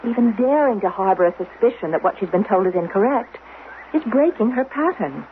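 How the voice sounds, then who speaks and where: A woman's voice: mid-range voice, animated, fairly quick, phone-quality sound, natural voice. An actress playing a shrewd, probing rebel wife guarding her son, with direct, coaxing delivery in a radio drama. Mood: grimly worried. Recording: noisy, band-limited 1970s radio broadcast.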